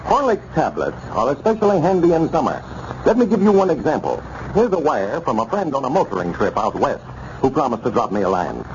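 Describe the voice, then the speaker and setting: An American man announcing and reading a scripted sponsor pitch in the style of 1930s radio. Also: darkish tone. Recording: muffled 1930s radio recording with hiss.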